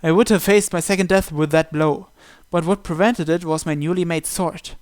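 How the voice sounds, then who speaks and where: High-voiced man reading a story aloud. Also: moderate pace, no echo, clean studio sound. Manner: expressive, first-person storytelling with occasional stumbles. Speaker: video game player reading a visual novel aloud. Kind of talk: dramatic read-aloud narration.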